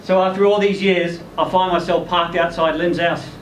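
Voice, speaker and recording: Guy reading a short story live, highish voice, roomy and a bit far from the mic. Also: flat delivery, normal speed. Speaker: story reader performing in character as ageing rock singer. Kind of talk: dramatic first-person short story reading. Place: indoor live literary event venue. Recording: echoey room, distant mic, faint noise.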